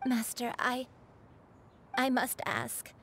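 A woman's voice: a little high, steady tone, takes it slowly, fairly bright tone, a little hiss or hum. A woman playing an earnest, searching female disciple in a video game, hesitant and pleading with her master. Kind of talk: video game dialogue acting. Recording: game music underneath.

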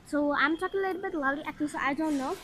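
A young woman narrating a handheld travel vlog in simple, halting English, explaining things as she walks. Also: high-pitched. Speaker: vlogger touring a cave temple. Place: outdoor temple grounds and a large cave. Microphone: phone camera held by hand. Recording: phone recording with loud ambient noise.

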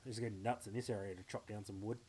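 A man's voice: fairly low pitch, steady tone, fast talker, mellow, a little hiss or hum. A young Australian man chatting playfully to himself while streaming a game live from home. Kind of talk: live gameplay commentary.

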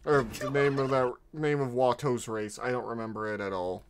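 A man's voice: mid-range voice, fairly even intonation, normal speed, a little hiss or hum. A man casually commenting on a gameplay video, rambling and stumbling over his words as he corrects himself. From half Mexican. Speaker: vtuber reacting to a gameplay video.

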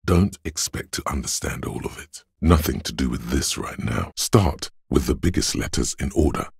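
A man voicing the cold inner voice of logic in a detective's mind for a video game, measured and analytical, with a gravelly, husky delivery. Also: extremely low pitch, lively intonation, dry recording, clean studio sound, exaggerated voice. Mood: dry, reasoning.

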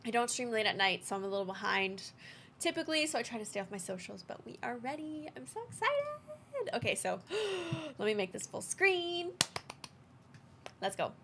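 Bright, excitable young woman streamer. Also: a little high, moderate pace, a little hiss or hum. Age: young adult. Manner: excitable, gushing. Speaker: game streamer. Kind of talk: live reaction, casual chat. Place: small indoor streaming room. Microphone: desk boom microphone, headphones on. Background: game trailer music.

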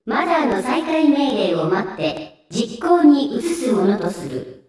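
A woman's voice: a little high, sing-song, unhurried, darkish tone. A woman voicing an impassive computer system in a video game, reciting status in a formal announcement manner. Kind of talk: scripted Japanese video game cutscene. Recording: very echoey, distant system voice.

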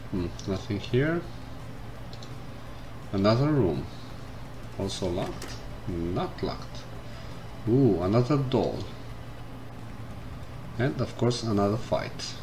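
A man commenting casually on a game as he plays, reading the game text aloud and thinking out loud. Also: fairly low pitch, slight background noise. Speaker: gameplay streamer. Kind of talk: casual let's-play commentary. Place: indoors at a computer. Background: game music.

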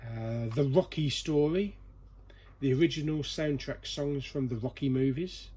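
Male vlog host, slightly high voice, informal and jokey, faint noise behind him in a storeroom. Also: a little slow, darkish tone. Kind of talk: casual chat while going through a collection. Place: indoor storeroom full of boxes.

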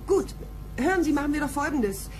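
An actress playing a brisk, officious woman caller from an institute in a crime radio drama. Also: high-pitched, expressive, a little mellow, slight background noise.

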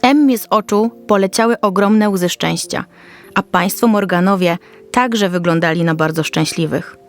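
A woman's voice: medium pitch, normal speed, faint music behind. A woman storyteller reading a scripted Christmas fairy tale for children.

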